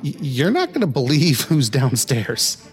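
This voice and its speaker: Guy acting excitable regular dude in an audio drama, voice jumps all over, bit wry. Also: mid-range voice, unhurried, faint music behind. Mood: bewildered. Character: Jeff, wry man thrust through time, excitable, eager.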